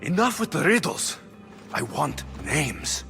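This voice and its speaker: An actor voicing a bold, blunt young Spartan mercenary hero in a video game drama, with music behind. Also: male voice, fairly high pitch, big pitch swings, slow pace, vocal fry, bright, forceful tone, full character voice. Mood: menacing, demanding.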